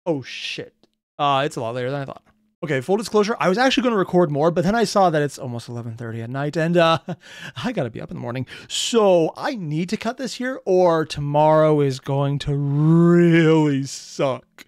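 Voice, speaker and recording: Animated, sweary reaction host, dry close sound. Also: medium pitch, quick pace, no echo. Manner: animated, exasperated, profane. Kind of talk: casual reaction commentary.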